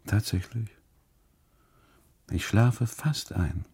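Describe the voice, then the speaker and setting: A man's voice: lowish voice, drawn-out words, warm tone, breathy edge. A man performing a first-person literary monologue for radio, with theatrical, emphatic phrasing.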